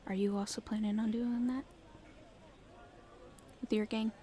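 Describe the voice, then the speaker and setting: A woman playing a cheeky young street thief in an ASMR roleplay, chatting casually and haltingly to the listener. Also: mid-range voice, little pitch movement, warm tone, a little hiss or hum, close to an everyday voice. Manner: casual, halting speech with hesitations.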